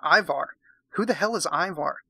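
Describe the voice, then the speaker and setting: A man's voice: fairly high pitch, normal speed, vocal fry, sharp tone, no echo, full character voice. A man voicing an indignant, bewildered modern wife from a romance novel in an exasperated, pleading way.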